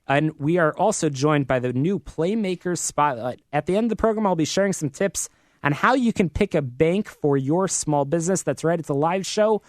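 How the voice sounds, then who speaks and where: A man's voice: a little high, lively intonation, normal speed, no room sound. An American man hosting a live business talk radio show from a studio in an upbeat, promotional manner.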